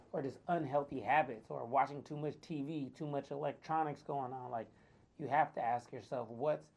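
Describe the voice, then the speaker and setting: A man with a raspy voice, tired from too little sleep, talking casually to viewers with lots of fillers. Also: mid-range voice, darkish tone. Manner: chatty, loose, lots of fillers. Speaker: wellness vlogger. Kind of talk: casual talk to camera.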